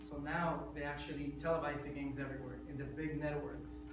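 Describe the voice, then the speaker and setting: A man's voice: a little high, level tone, fairly quick, far from the mic, over music. A man in his thirties from Ecuador, giving a prepared speech to a speaking club in an earnest way, often checking in with "okay?". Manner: earnest, repeats words, asks "okay?". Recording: echoey room from afar, loud room noise.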